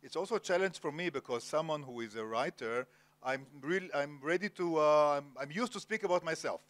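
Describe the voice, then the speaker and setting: A man's voice: a little high, fairly bright tone. Man moderating, hesitant and formal. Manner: formal, many filler pauses. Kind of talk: onstage literary interview. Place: cultural center event hall, Jerusalem.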